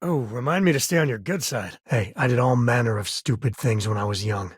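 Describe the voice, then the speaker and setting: Video game role, male actor: wry frontier spacefarer and father, middle-aged, mid-pitched, slightly rough husky voice, colloquial and easygoing. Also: fairly quick, natural voice. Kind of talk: video game character dialogue.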